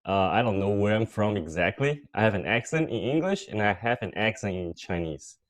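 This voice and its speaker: A man with a lowish voice tells his career story on a video call, relaxed and full of small fillers. He grew up between Taiwan and the United States. The sound has the close feel of a computer mic. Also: brisk. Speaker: guest speaker.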